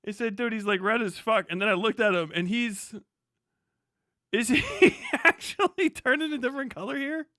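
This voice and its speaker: A man's voice: extremely high pitch, animated, moderate pace, sharp tone, no room sound, studio quality. A man giving casual, mocking live reaction commentary as a streamer, incredulous and heavily profane.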